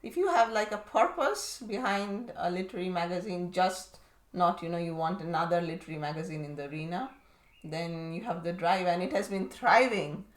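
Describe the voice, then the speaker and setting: A woman's voice: on the low side, moderate pace, echoey room. A woman interviewing a guest in a friendly way, asking questions and giving brief encouraging remarks. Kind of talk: conversational interview.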